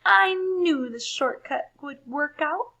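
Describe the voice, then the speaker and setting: A woman voicing an eager, excitable schoolboy full of confident schemes in a storybook. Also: sits high, slow pace, voice pushed up, full character voice.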